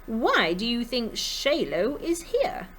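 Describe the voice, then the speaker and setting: A flouncy, glamorous lady rabbit with a French accent acted out by a woman reader. She speaks in a musical sing-song that swoops high. The storybook recording has some noise. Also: wildly swooping pitch, slow pace, fairly bright tone, full character voice. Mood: airy, assured.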